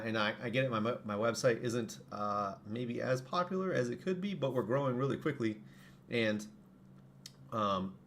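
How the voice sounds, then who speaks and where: A man with a hoarse voice, casually explaining his products on a live stream and correcting himself as he goes. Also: mid-range voice, a little hiss or hum. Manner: rambling, self-correcting. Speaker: streamer and saber parts maker.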